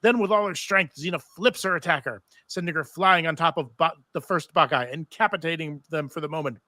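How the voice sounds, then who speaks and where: Bright-voiced man narrating a script aloud. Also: on the high side, a little fast, dry recording. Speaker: table read narrator and panel host. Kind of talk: live reading of TV script stage directions with asides. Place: live convention panel.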